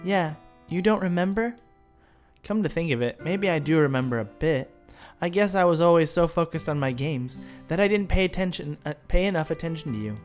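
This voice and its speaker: A man's voice: fairly high pitch, lively intonation, brisk, few pauses, soft background music. A man casually reading visual novel text aloud as the host of a let's play video.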